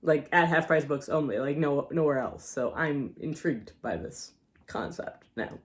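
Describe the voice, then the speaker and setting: A woman's voice: low-pitched, lively intonation, normal speed. A woman chatting through a casual book haul for her channel. Her voice is wearing thin and she is losing it. She rambles, hedges and second-guesses the details as she talks. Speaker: book vlogger.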